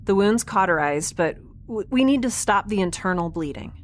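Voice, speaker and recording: A woman's voice: mid-range voice, normal speed, a little hiss or hum, natural voice. An actress playing a quirky, stubborn young woman scientist in a spaceship audio drama, rambling and earnest. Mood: distressed, insistent. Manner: rambling, babbling, animated, earnest.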